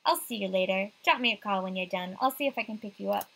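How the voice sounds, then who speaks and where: A woman's voice: medium pitch, quick pace, vocal fry, fairly bright tone. A woman voicing a casual, teasing young man in a visual novel.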